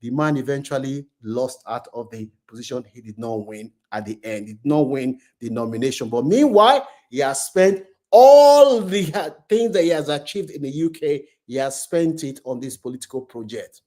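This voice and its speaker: A man's voice: mid-range voice, expressive, normal speed, few pauses, dark tone. A man hosting and telling a story informally, speaking emphatically and repeating phrases for effect. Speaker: host and storyteller. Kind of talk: informal spoken storytelling with commentary.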